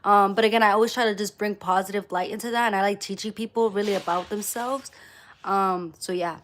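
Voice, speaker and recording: A woman's voice: medium pitch, steady tone, brisk, fairly bright tone, slight background noise. A woman chatting casually to viewers on a motivational livestream, speaking emphatically and repeating phrases for emphasis. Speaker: live streamer.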